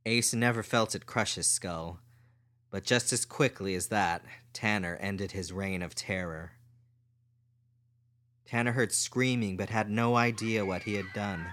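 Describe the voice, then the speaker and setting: A man's voice: on the low side, unhurried, background noise. A man reading fiction aloud as a scripted story narrator. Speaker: audiobook narrator.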